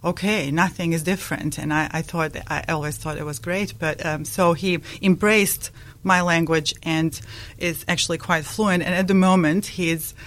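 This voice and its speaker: A woman's voice: on the low side, steady tone. Hesitant Bosnian-accented woman guest. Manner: hesitant, many pauses and restarts. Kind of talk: radio interview chat.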